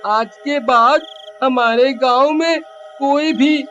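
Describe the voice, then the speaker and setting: High, slow-spoken pleading little boy acted out. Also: fairly bright tone. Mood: earnest pleading. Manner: pleading, respectful, deliberate. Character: polite, earnest little village boy begging mercy. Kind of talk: horror cartoon story acting. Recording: dull, lo-fi with music. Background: music.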